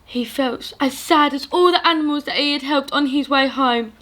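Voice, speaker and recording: A woman reading a children's story aloud in an animated, sing-song way for young listeners. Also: sits high, moderate pace.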